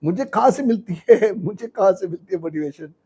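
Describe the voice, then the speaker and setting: Husky, animated man in his sixties. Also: high voice, fairly quick. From India. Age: 60s. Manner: animated, emphatic, mixes languages. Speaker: business coach guest. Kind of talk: storytelling interview in mixed Hindi and English.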